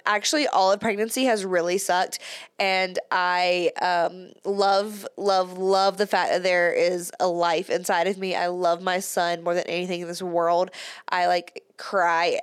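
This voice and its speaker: A woman's voice: fairly low pitch, clear, resonant tone, no echo, clean studio sound. A woman hosting her own podcast in casual solo chat, a little congested from a cold, talking in a chatty way with frequent "like" and small laughs. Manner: chatty, says "like" often, laughs between words. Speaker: podcast host.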